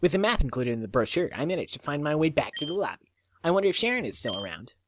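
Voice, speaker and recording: Joking man reading a story, very animated pitch. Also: fast, slight background noise. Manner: jokey, irreverent. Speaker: let's play host and reader. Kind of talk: reading a visual novel aloud with joking asides.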